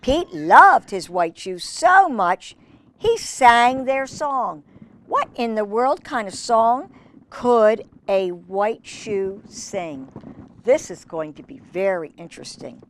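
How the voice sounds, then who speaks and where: Female children's storytime reader, mid-pitched, lively intonation, gushing and chatty, fond asides. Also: slow pace, slight background noise. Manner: gushing, expressive, exclaiming over pictures.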